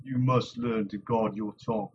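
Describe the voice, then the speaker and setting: A man reading an audiobook voices a terse male warrior who speaks in a quiet, clipped, patient way. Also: fairly low pitch, steady tone, moderate pace, dull audio, a little hiss or hum, pitched below the performer's own voice, lightly acted. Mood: stern. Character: stern, terse male warrior, guarded and low-spoken.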